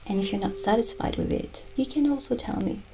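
A middle-aged woman playing a gentle, mystical witch who sells potions, soft-spoken and soothing in an improvised ASMR roleplay. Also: fairly high pitch, brisk, noisy background. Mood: friendly, unhurried. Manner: soft-spoken, soothing, lapsing into breathy near-whispers. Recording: soft background music. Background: soft music.

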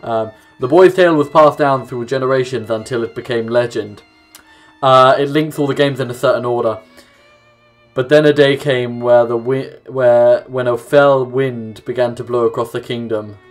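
A man's voice: medium pitch, steady tone, normal speed. Young guy doing a gaming playthrough, offhand casual delivery, plucked guitar music under him. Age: young adult. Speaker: let's play host. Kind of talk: gaming commentary, reading game text aloud. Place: small room at home, indoors. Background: game music with plucked guitar.

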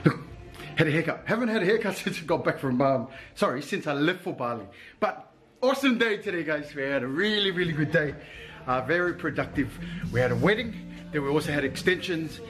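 A man from Samoa in his thirties talks casually to a handheld camera, first in a parked car and then in a hair salon. His voice sits high and jumps around a lot, and he sounds upbeat and chatty, often ending his lines with "yo". Music plays clearly behind him throughout. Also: lively intonation, fairly quick. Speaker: vlogger and salon owner. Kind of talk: casual vlog chat to camera. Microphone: handheld camera held close.

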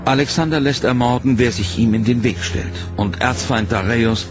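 A man giving scripted documentary narration in a measured, serious storytelling manner. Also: medium pitch. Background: dramatic music.

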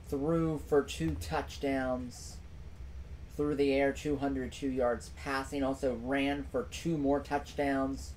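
A young man talks into a desk mic in a small room at home, hosting his own sports stream. He goes through the scores at an unhurried pace, leaning hard on repeated phrases. A faint hiss sits under his voice. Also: mid-range voice, a little mellow, rough recording. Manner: emphatic, repeats phrases for effect. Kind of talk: solo sports recap stream.

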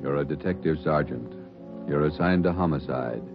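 A male announcer with an extremely deep voice, delivering a grave, measured opening. The broadcast sounds dull and muffled. Music plays under him. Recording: muffled old radio broadcast.

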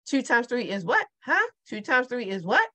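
A woman's voice: on the high side, sing-song, normal speed, bright, forceful tone. Chatty Baltimore woman teaching math. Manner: chatty, repeats questions to the viewer, digresses then refocuses. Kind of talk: casual whiteboard math lesson.

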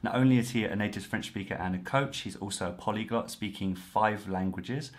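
A man's voice: fairly low pitch, brisk. A man in his thirties hosting a casual interview over a video call from a room at home, friendly and full of restarts. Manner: friendly, with frequent fillers and restarts. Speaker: host, French coach.

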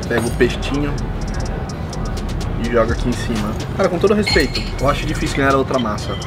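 A man's voice: mid-range voice, animated, fast talker, loud music behind the voice. A young man with a Brazilian accent hosting a food vlog, chatting eagerly and describing each dish between bites at a restaurant table. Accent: Brazilian Portuguese. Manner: enthusiastic, slangy, describes food as he eats. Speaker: food vlogger host. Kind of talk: casual food review chat. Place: indoor restaurant booth.

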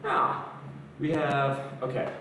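A man's voice: mid-range voice, wildly swooping pitch, slow, bright, forceful tone, a little hiss or hum. Laid-back guy in an echoey garage gym. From American South. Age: young adult. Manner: laid-back, chatty, answers viewer comments between exercises. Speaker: fitness streamer. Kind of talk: casual live-stream chat. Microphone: camera mic at a distance. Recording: roomy garage echo, distant mic.

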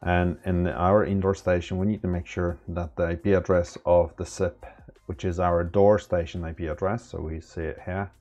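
A man in his thirties giving a relaxed step-by-step tech tutorial with lots of fillers, recorded at a desk indoors. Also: sits low, moderate pace, faint music behind. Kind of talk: casual screen-recorded tutorial. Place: small room indoors.

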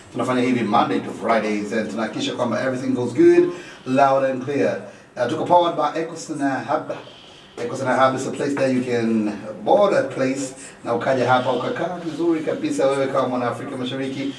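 A man with an East African accent hosting a casual interview, switching between languages as he chats. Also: medium pitch. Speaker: radio show host. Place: small radio studio. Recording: roomy echo, distant mic.

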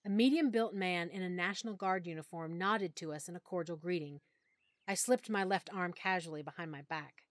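A woman narrating a full-cast audiobook in first person, with expressive, clear storytelling. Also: on the low side, brisk, no echo, clean studio sound. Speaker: narrator and lead character.

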